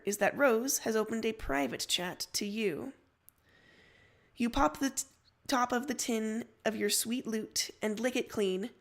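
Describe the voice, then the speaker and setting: A woman reading a story aloud as a narrator, telling it in the second person. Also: a little high.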